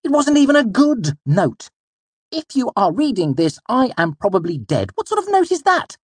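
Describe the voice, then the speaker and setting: A man's voice: a little high, lively intonation, normal speed, darkish tone, no room sound, voice pushed up. A man voicing an indignant, theatrical young male fae creature, fussy and haughty, for a storybook.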